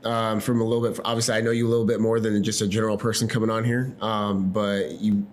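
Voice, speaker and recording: A man hosting a podcast, welcoming a guest and asking questions in a halting, casual way with many restarts. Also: lowish voice, little pitch movement, a little hiss or hum. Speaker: podcast host.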